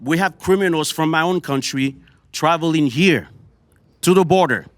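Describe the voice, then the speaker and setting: A man with a Haitian accent argues his point with force, often stumbling over and repeating words as he pushes on. He speaks at a middling pitch in a dry, close-sounding room. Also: moderate pace, a bit bright, dry recording. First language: Haitian Creole. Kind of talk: heated debate.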